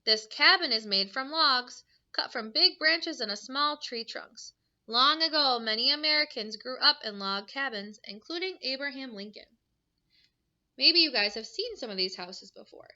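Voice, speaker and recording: A woman's voice: sits high, expressive, moderate pace, sharp tone, muffled recording. A woman teacher reading a picture book aloud to young children, adding simple explanations and questions in an instructive way.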